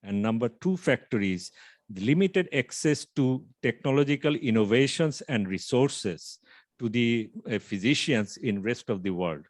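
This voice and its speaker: A man pitches his company over a video call. His mid-range voice comes across dry and close, with no room sound around it. He speaks in a measured, explanatory way, pausing often on fillers. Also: expressive, no echo. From Bangladesh. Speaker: startup founder pitching. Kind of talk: online pitch presentation.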